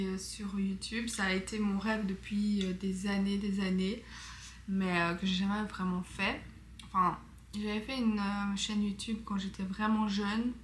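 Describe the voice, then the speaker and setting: A young woman talks through her vlog in an easy, chatty way, full of little fillers and upbeat asides. Her pitch stays fairly level. The sound is roomy and echoey, with a faint hiss underneath. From Belgium. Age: 20s. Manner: chatty, many fillers, enthusiastic. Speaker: vlogger. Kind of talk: casual vlog chat. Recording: echoey room with faint noise.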